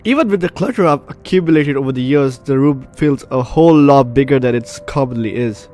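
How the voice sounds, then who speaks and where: A man's voice: mid-range voice, fairly quick, warm tone. A man hosting a gaming video while getting over the flu, reading and reacting aloud in a dramatic way with repeated phrases. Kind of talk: let's play commentary, reading game text aloud. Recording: game music behind the voice.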